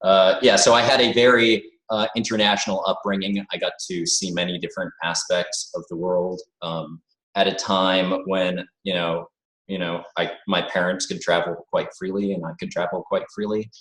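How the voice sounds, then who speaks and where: Low-voiced man on a call, pausing and searching for words, heard in a roomy space. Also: flat delivery, fairly bright tone. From born in the United States, raised abroad. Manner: hesitant, thoughtful, many "you know" fillers. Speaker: interview guest. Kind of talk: reflective conversational interview.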